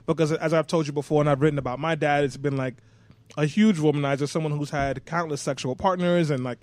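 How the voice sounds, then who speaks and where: Fast-talking candid young man. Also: a little high, fairly even intonation, dry recording. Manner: candid, storytelling, swears freely. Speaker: podcast co-host. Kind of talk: casual chat.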